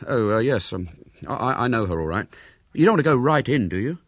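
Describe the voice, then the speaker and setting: A man's voice: medium pitch, big pitch swings, fairly quick, no echo, studio quality, pitched above the performer's own voice. A man voicing a young boy who hesitates, stammers and bluffs, in a storybook reading. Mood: desperate, evasive. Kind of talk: storybook character voice.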